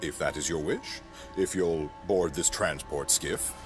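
Man playing a deep-voiced proud imperial governor. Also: measured pace, over music. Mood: wary, deferential. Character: gruff, proud imperial governor, guarded with superiors. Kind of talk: science-fiction audio drama acting.

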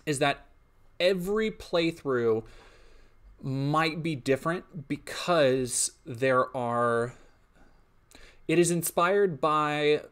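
A man's voice: fairly high pitch, extremely slow, fairly bright tone, clear, resonant tone. Brief: young male streamer, drowsy and a bit sniffly, meandering casual chat full of restarts. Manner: rambling, trails off with frequent ums and restarts. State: a little sniffly and sleepy. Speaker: streamer and indie game developer.

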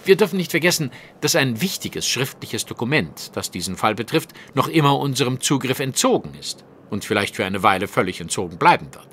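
An actor playing a self-assured gentleman detective in an audio drama, lecturing in a precise, didactic way. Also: mid-range voice, sing-song, brisk, few pauses, a little hiss or hum. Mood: lecturing, insistent.

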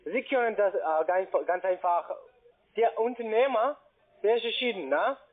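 A man with a foreign accent, a non-native speaker, talking haltingly and repetitively as a call centre agent on a phone. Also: high-pitched. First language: not German. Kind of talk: phone sales conversation. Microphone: telephone. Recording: tinny narrowband phone line.

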